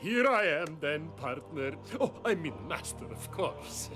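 A man's voice: fairly high pitch, sing-song, measured pace, over loud music. A man voicing a greedy tyrant henchman in a cartoon, speaking in broken, foreign-sounding grammar. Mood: sly, fawning. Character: greedy, scornful tyrant oil baron, villain's henchman. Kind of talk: cartoon villain acting.

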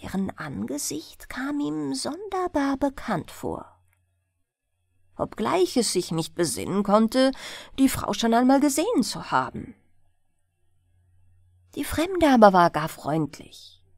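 A woman reads a fairy tale for bedtime in a fairly high voice. She takes her time and moves her pitch expressively, in the manner of an audiobook storyteller. The sound is close and dry. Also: big pitch swings, slow, creaky, long pauses, darkish tone, dry recording. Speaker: audiobook narrator.

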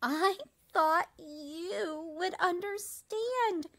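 Sad little storybook cricket, played by a woman: high voice, slow delivery, plaintive and longing. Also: a bit bright, heavily acted. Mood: envious, upset. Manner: plaintive, wistful. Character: sad, self-doubting little young male cricket. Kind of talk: storybook character reading.

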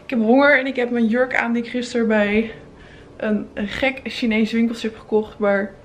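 A woman's voice: on the high side, level tone, moderate pace, a little hiss or hum. A young woman in her twenties, chatting upbeat to a handheld camera at arm's length while on holiday. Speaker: travel vlogger. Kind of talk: casual travel vlog. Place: outdoors, hotel rooms and a spa on holiday.

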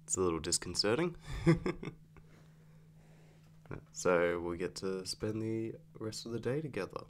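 A man acting a weary, easygoing werewolf boyfriend in an ASMR audio roleplay, speaking in a halting, casual, understated way with dry affection. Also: lowish voice, big pitch swings. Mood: relaxed, curious. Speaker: roleplay voice actor. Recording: clearly audible background hum.

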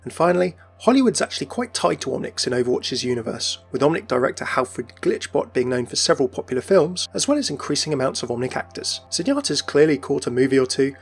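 Casting: male video presenter, quick scripted delivery, mid-pitched, faint music underneath. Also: sing-song, vocal fry. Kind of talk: scripted narration for game lore video. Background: background music.

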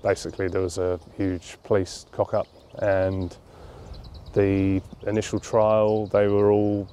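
A man, speaking informally and thoughtfully, with halting phrases, in an interview. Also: lowish voice, completely flat delivery, dark tone, slight background noise. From London, England. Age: 30s. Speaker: interviewee, charity cyclist. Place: outdoors at a leafy campground.

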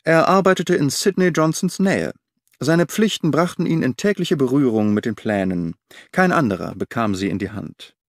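A man reading the part of a well-informed government official giving a measured briefing in an audiobook. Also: medium pitch, dry recording, clean studio sound, close to an everyday voice.

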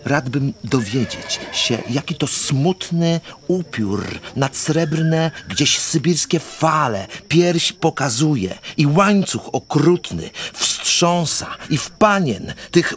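An actor playing a florid, melancholy romantic count of fifty in a verse radio drama, speaking haltingly and poetically. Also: male voice, on the high side, a bit bright.

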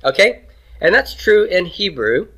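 Bright-voiced man lecturing on grammar. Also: sits high, very animated, unhurried. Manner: explanatory, conversational, occasional throat clearing. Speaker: Hebrew grammar professor. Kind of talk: classroom lecture. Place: classroom in Texas.

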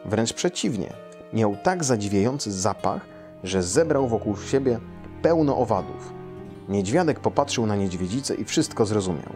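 A man in his thirties or forties with a fairly low voice. He speaks as a children's storyteller, seated indoors. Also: animated, normal speed, darkish tone, soft background music. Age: 30s to 40s. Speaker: storyteller and translator reading his translation. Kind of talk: children's story read aloud. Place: small quiet room indoors, seated in an armchair.

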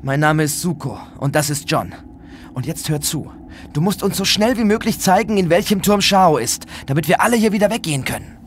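Guy acting a worried, determined demon hunter, intense and very lively pitch, faint noise behind, horror radio play. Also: a little fast, natural voice. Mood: anxious. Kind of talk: dramatic audio drama dialogue. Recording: noisy scene ambience behind.

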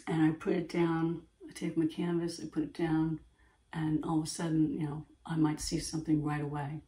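A woman in her fifties with a gravelly voice, earnestly rambling to camera in her home art studio. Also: low-pitched, completely flat delivery, normal speed, mellow, recorded from a distance. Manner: earnest, rambling, repeats herself. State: throat irritation, clearing throat. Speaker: artist, channel host. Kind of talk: casual talk to camera. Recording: echoey room, voice from off-mic.

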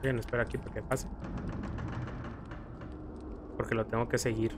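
A man's voice: medium pitch, flat delivery, normal speed, noisy background. A man with a Mexican accent doing casual, joking commentary over a video game playthrough. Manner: joking, playful exclamations. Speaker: gaming video creator. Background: video game music.

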